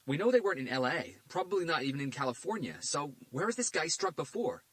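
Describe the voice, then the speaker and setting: A man voicing a sarcastic male police detective, speaking in a quick, mocking way. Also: fairly high pitch, big pitch swings, fairly bright tone, voice pushed up. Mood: curious.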